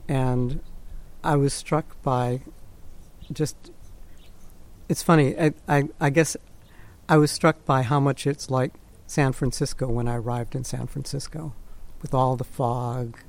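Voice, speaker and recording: Slow, halting man in his sixties. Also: medium pitch, a little mellow. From Peru. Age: 60s. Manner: slow, halting, reflective, with many fillers and restarts. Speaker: interviewee, artist. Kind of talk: oral history interview. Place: at home.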